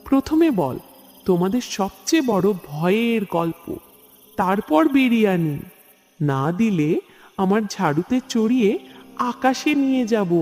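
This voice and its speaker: Cartoon witch, male actor: high-pitched, taunting and cackling, theatrical menace, very echoing hall-like reverb. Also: darkish tone. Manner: taunting, theatrical, drawn-out laughs. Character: menacing cackling witch who runs a magical biryani shop. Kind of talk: children's cartoon voice acting. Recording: long reverb, music behind.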